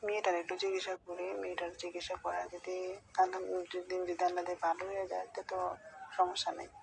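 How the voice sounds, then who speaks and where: A middle-aged woman talks on a recorded internet call with faint noise behind her. Her delivery is fairly flat and gravelly, yet hesitant and pleading, and she circles back to repeat herself. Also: lowish voice, fast talker. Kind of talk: private phone conversation.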